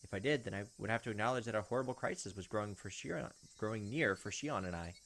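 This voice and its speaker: A man's voice: on the low side, fast talker, a bit bright, a little hiss or hum. A man reading visual novel text aloud as a let's player, dropping in casual asides. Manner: casual asides between read lines. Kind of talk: narrating and commenting over a visual novel.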